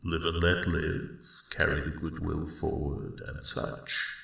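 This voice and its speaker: Very deep, gravelly ancient dragon. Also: male voice, level tone, heavily acted. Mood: mellow, friendly. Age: elderly. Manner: slow, rambling, reflective, gently wry. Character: friendly ancient male dragon, mellowed guardian of a spring. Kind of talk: ASMR roleplay monologue. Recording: roomy cave-like echo, distant sound.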